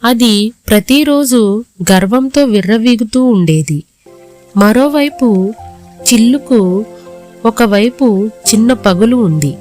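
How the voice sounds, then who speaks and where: A woman's voice: on the high side, expressive, slow, pauses often, darkish tone, soft background music. A woman reading scripted narration for a moral story as its storyteller.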